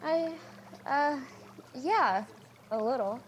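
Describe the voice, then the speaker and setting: A woman's voice: high voice, expressive, extremely slow, slight background noise, exaggerated voice. A woman playing a wry, frank teenage girl. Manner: wry, confiding monologue. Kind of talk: comedy radio play acting.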